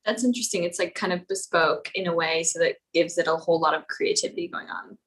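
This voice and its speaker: A woman's voice: fairly low pitch, fast. A young woman, a fellow student, chatting and asking a question on a video call, with casual fillers. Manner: friendly, uses filler like "like" and "um".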